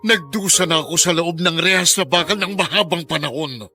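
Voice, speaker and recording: A Filipino actor playing an anguished former prisoner in an intense, lamenting radio drama monologue. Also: male voice, on the high side, brisk, sharp tone, faint music behind. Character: anguished former prisoner haunted by his past.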